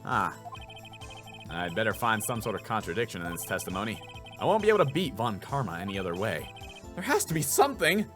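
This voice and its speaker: A man playing an earnest, determined young defense attorney in a courtroom game, emphatic and excitable. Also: on the low side, fairly quick, faint music behind. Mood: determined, puzzled. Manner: emphatic, accusing, inner musing.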